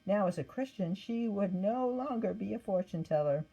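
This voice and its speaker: A woman reading a scripted children's Bible story aloud in an expressive manner. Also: medium pitch, normal speed, slightly rough, dull audio. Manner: expressive read-aloud with acted quotes. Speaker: storybook reader.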